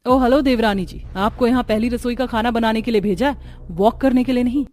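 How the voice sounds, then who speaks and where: Woman acting a haughty high-voiced modern sister-in-law. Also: brisk, darkish tone, slight background noise, lightly acted. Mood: scornful. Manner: scornful, mixes English into Hindi.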